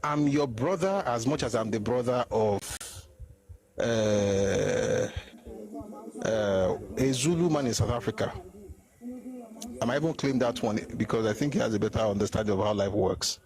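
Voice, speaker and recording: A man's voice: lowish voice, moderate pace, darkish tone. A middle-aged man with a Nigerian Yoruba accent giving an opinionated commentary monologue, emphatic and full of asides.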